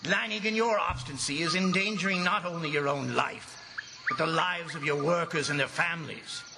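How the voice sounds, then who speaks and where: A man's voice: a little high, normal speed, vocal fry. A man playing an earnest district commissioner in a radio drama, speaking with emphatic, theatrical gravity. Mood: urgent, alarmed. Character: earnest, alarmed male district commissioner, grave storyteller. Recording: radio drama with loud music underneath.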